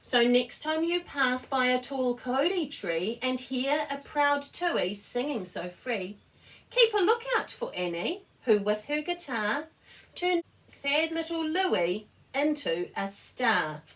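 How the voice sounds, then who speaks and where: A woman reading a children's picture book aloud as an expressive storyteller. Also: high-pitched, slow, far from the mic, rough recording. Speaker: story reader.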